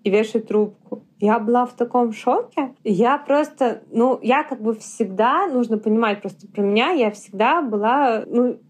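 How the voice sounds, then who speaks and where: Podcast guest: woman from Russia, higher voice, candid storyteller who acts out the dialogue. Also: moderate pace, few pauses. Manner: candid, lively retelling with quoted dialogue. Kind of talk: casual storytelling.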